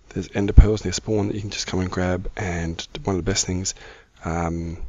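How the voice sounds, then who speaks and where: A middle-aged man with a croaky voice, giving casual, easygoing gaming commentary as the host of a video. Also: low voice, darkish tone, no room sound, highs cut off. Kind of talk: casual Minecraft let's-play commentary.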